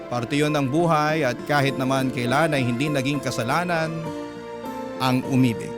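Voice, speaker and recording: A man's voice: medium pitch, fairly even intonation, moderate pace. A man with a Manila Tagalog accent narrating love letters as a radio host, earnest and confiding. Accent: Filipino, Manila Tagalog. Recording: clear music bed behind.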